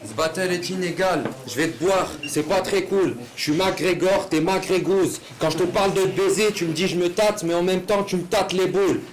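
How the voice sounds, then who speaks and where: Taunting high-voiced battle rapper. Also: male voice, moderate pace, few pauses. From Saint-Étienne, France. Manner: taunting, crude. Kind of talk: spoken rap battle punchlines. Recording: noisy event recording from a video.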